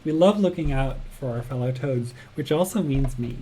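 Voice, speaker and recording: Chatty streamer with light laughs. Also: male voice, medium pitch, normal speed, warm tone, slight background noise, poor audio. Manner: friendly, chatty, many ums and uhs, laughs often. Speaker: game jam stream host. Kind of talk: casual livestream chat answering viewer chat.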